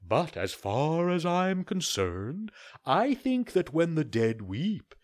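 Reader doing a polite owl doctor, slow and careful, a bit raised. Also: mid-range voice, sing-song, a little mellow, pitched above the performer's own voice. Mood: measured. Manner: measured, courteous contradiction.

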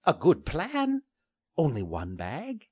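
A man's voice: huge pitch swings, slow, darkish tone, breathy edge, no room sound, clean studio sound, exaggerated voice. A man voicing a bulky, scheming male pirate with a growling, sneering pirate dialect, in a storybook reading. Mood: wheedling. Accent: old-style pirate dialect. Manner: jumps between high mocking lilts and low growls.

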